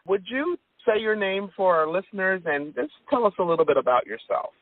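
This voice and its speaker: Female talk radio host, low-pitched and earnest, deliberate phrasing, dry narrow-band sound. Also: lively intonation, dry recording. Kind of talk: hosting an inspirational radio interview.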